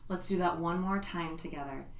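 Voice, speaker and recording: A woman leading a yoga class, giving calm, soothing step-by-step cues. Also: on the low side, fairly quick, very noisy. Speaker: yoga instructor. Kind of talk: guided yoga class instruction. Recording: roomy echo, voice from a distance.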